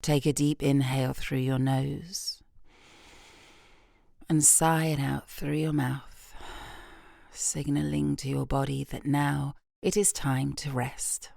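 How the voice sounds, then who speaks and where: A British woman guiding a yoga nidra meditation in a soft, gentle, unhurried voice with long pauses, breathy at phrase ends. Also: very deep voice, flat delivery, no room sound, clean studio sound. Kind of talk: guided meditation, slow and soothing.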